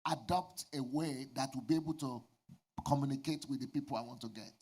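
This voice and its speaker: A man's voice: medium pitch, moderate pace, darkish tone, husky. A man from Nigeria, a Yoruba speaker, preaching and giving a candid testimony in short phrases for an interpreter. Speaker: pastor.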